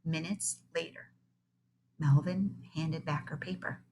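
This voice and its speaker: A woman reading a children's story aloud in an expressive, dramatic way, stretching key words. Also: low voice, unhurried, gravelly, slight background noise. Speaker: read-aloud narrator.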